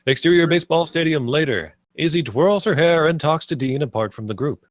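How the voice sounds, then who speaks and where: A man's voice: fairly high pitch, animated, brisk, no echo. Man narrating a script, dry sound. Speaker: narrator reading stage directions. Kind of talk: scripted narration at a screenplay table read.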